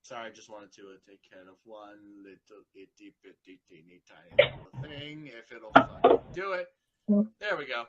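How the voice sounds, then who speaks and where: Bright-voiced man chatting casually. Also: lowish voice, normal speed, lo-fi sound. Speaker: amateur fanfiction reader. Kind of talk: casual chat.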